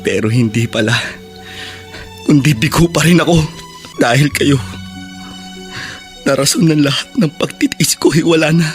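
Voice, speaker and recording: An actor in a Tagalog radio drama playing a grieving, bitter younger brother who has been in prison, speaking haltingly and with emotion. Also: male voice, a little high, expressive, soft background music, close to an everyday voice. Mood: anguished grief.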